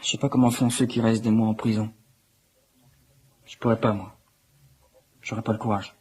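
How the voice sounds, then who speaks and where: An actor playing a fragile, self-doubting young son in a radio drama, answering plainly and briefly, with a gentle wish to reassure. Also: male voice, lowish voice, flat delivery, normal speed, breathy edge. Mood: dejected. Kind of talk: naturalistic French radio drama dialogue.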